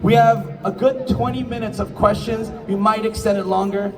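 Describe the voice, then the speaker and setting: An upbeat man moderates a convention panel and keeps the crowd moving. His voice is high for a man. He sits back from the mic, and the long hall reverb and steady noise surround him. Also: moderate pace, distant mic.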